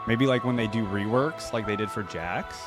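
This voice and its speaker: A man's voice: lowish voice, normal speed, over loud music. A man giving casual reaction commentary as a host, speaking informally and thinking aloud.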